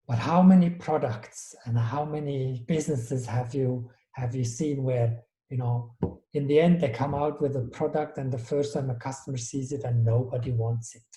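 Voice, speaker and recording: A man's voice: medium pitch, steady tone, moderate pace, warm tone, echoey room, rough recording. A man from Switzerland, a guest in an interview, talking thoughtfully and often restarting his sentences. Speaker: guest, innovation strategist. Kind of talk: conversational interview.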